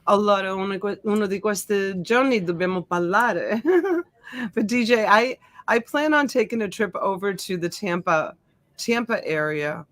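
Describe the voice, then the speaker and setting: A middle-aged woman whose first language is Italian hosts a live-stream auction, chatting easily with her viewers and greeting them one by one by name. Her talk is loose and friendly, full of "um" and little restarts. Her voice sits at an ordinary pitch for a woman, picked up close on a USB desk mic in a dry space with no room echo. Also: normal speed, fairly bright tone. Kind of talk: casual live-stream chat.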